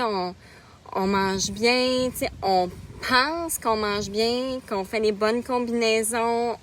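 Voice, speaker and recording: A woman's voice: medium pitch, a bit bright, a little hiss or hum. Chatty Québécois woman in her thirties. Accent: Québécois French. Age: 30s. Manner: casual, chatty, greets viewers by name mid-sentence. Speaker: nutrition coach. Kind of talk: live stream chat with viewers.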